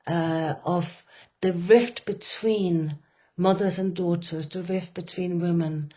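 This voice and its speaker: A woman with a Danish accent speaking earnestly and with passion, pausing often with fillers and restarting her sentences. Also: on the low side, steady tone, warm tone. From Denmark. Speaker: women's work facilitator. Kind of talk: reflective conversation.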